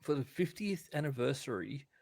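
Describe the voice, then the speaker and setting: Lively, gravelly chatty man. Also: mid-range voice, normal speed. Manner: chatty, enthusiastic, rambling. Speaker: podcast co-host. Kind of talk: casual chat.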